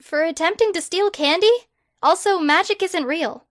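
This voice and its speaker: A woman's voice: very high-pitched, normal speed, fairly bright tone, no echo, voice pushed up. A woman voicing a sarcastic, exasperated schoolgirl who gives dry, incredulous retorts.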